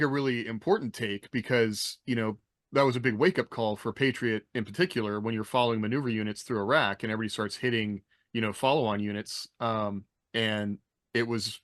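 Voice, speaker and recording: Male American co-host, medium pitch, very dry close sound, casual halting delivery. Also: dry recording. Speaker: podcast co-host, former army officer. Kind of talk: casual chat.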